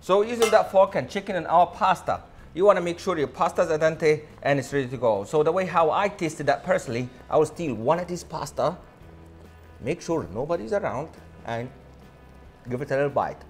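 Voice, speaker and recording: High-voiced man, enthusiastic showman cook. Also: animated, brisk, faint music behind. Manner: enthusiastic, showy, addresses audience as a showman. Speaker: chef hosting a cooking show. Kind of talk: cooking demonstration to camera. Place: indoor modern studio kitchen. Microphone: lapel mic.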